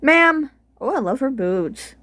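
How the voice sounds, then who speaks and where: A woman's voice: high voice, animated, takes it slowly, bright, forceful tone. A woman recording a game playthrough, giving casual, exclamatory live reactions in short informal remarks.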